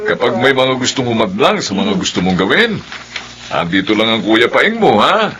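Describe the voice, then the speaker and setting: A man's voice: medium pitch, big pitch swings, moderate pace, fairly bright tone, a little hiss or hum. A man with a Filipino accent playing a boisterous, tipsy, protective uncle in a radio drama.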